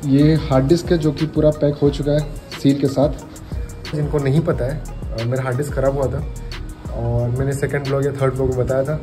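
A young man talking casually to a phone held at arm's length, mixing Hindi with English, in a vlog. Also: medium pitch. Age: young adult. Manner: chatty, mixing Hindi and English, bits of Odia. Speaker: vlogger. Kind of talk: casual travel vlog to camera. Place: indoors and outdoors, rooms, street, station. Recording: music playing behind the voice.

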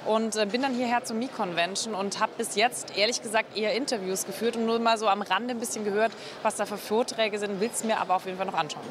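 A young woman journalist chatting candidly into a handheld mic in a convention lounge, relaxed and opinionated. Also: medium pitch, fast talker, hardly any pauses, noisy background. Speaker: journalist colleague as guest. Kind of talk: casual interview chat. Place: lounge area of a convention hall. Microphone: reporter's handheld microphone.

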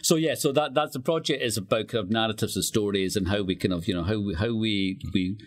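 A man's voice: lowish voice, fast talker. A Scottish man in his sixties from the west of Scotland, talking thoughtfully and telling anecdotes as a guest in a reflective radio conversation. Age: 60s. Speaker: interview guest, writer.

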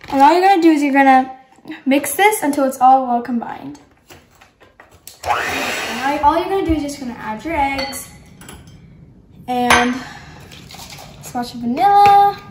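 Bubbly teen girl with a high voice. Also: animated, a little slow, pauses often, noisy background. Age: teens. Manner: bubbly, chatty, enthusiastic. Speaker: cooking show host. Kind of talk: casual cooking demo. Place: home kitchen, indoors. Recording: echoey kitchen room.

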